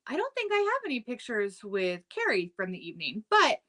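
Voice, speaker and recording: A woman in her thirties chatting loosely on a podcast, her voice bright and forward. She rambles through her stories, filling gaps with likes and ums. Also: on the high side, huge pitch swings, brisk. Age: 30s. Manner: chatty, storytelling with lots of "like" and "um". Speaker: podcast co-host. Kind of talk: casual chat.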